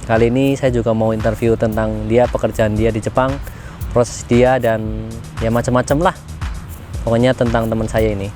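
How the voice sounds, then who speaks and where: A man from Central Java with a Banyumasan ngapak accent, hosting a casual interview with a friend and asking quick, joking questions. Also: mid-range voice, little pitch movement, darkish tone, soft background music. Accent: Javanese Banyumasan (ngapak). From Central Java, Indonesia. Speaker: vlog host interviewing a friend.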